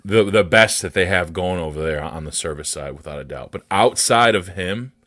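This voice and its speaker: An American man with a bassy voice, hosting a podcast and ranting off the cuff in a blunt, profane, indignant way. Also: normal speed, a bit bright, dry recording, clean studio sound. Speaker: podcast host. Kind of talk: unscripted personal rant.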